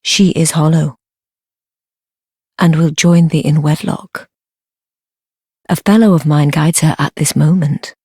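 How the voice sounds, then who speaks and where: A woman playing a sly, devoted noblewoman in a dark fantasy game, speaking formal archaic English in a low, breathy, measured voice. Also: fairly even intonation, no room sound, studio quality, exaggerated voice. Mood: grave, scheming. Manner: measured, formal archaic speech, deferential yet commanding. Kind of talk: fantasy video game acting.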